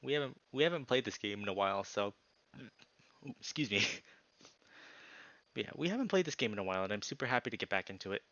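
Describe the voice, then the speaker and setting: A man narrating a visual novel aloud as a streamer, with informal, expressive asides. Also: lowish voice, quick pace, long pauses, dry recording, dull audio.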